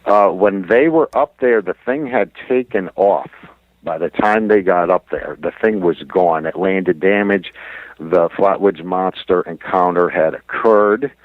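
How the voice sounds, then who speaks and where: A deep male voice over a dry, narrow telephone-style line. He is an interview guest laying out his investigation insistently, often repeating himself to press a point. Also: measured pace, dry recording. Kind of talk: recounting research in a radio interview. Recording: muffled narrow phone-like line.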